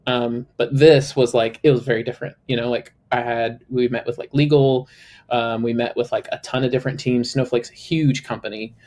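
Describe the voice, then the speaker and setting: A man co-hosting a casual podcast chat, talking in a loose, rambling way full of fillers, with a slightly high, a little nasal voice. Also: steady tone, a little fast, darkish tone. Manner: chatty, filler-heavy, lots of "like". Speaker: co-host.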